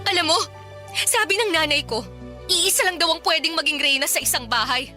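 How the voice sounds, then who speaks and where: A woman's voice: high voice, a little fast, pushed and bright, soft background music. A woman playing an exasperated, nagging young wife and mother in a Tagalog radio drama, complaining emphatically.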